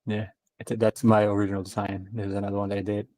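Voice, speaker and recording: A man chatting casually as a guest artist, relaxed and quick to laugh as he talks. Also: on the low side, slight background noise. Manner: relaxed, laughs easily, quick back-channels. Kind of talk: casual chat on a stream.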